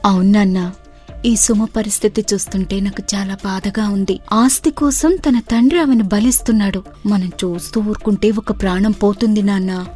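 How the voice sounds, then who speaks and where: A wise young village woman with a middle-pitched voice, as a woman voices her. She speaks in a measured, polite and thoughtful manner in an animated storybook. Soft music plays underneath. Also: husky, natural voice. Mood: determined.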